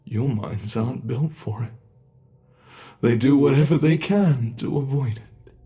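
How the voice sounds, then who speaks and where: A British man voicing an ancient, bored male monster in a horror ASMR roleplay, speaking slowly and intimately with soft whispers. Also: medium pitch. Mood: menacing. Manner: slow, intimate, menacing delivery with soft whispers.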